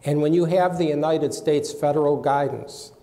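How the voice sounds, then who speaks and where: Gravelly, emphatic panelist in a hall. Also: male voice, a little high, normal speed, mellow. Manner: emphatic, insistent, repeats words when starting. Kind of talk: panel discussion argument. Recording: hall with long reverb.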